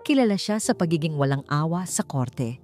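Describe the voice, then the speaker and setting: A Filipino woman narrates a dramatic story with measured suspense. Her voice sits a little low for a woman. Soft music plays behind her scripted delivery. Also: lively intonation. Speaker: audiobook narrator. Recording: voice over background music.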